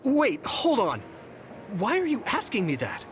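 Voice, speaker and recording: A man's voice: extremely high pitch, wildly swooping pitch, unhurried, a little hiss or hum, exaggerated voice. An actor playing an earnest, polite young male soldier in a video game, sincere and questioning, a little hesitant and easily flustered. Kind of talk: English video game voice acting.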